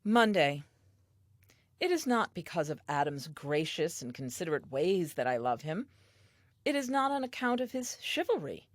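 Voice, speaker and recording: Actress doing reflective first woman diary monologue, earnest and tender, lowish voice, really expressive pitch. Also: normal speed, dry recording, studio quality. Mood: tender, reasoning. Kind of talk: audio play diary monologue.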